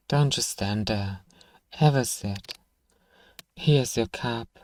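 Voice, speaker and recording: A man acting as a gruff, sarcastic, reluctant apothecary shopkeeper in a fantasy ASMR roleplay, grumbling dryly straight at the listener. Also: mid-range voice, very slow. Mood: dry, grudging. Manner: grumbling, dry sarcasm, sighing, addresses listener directly.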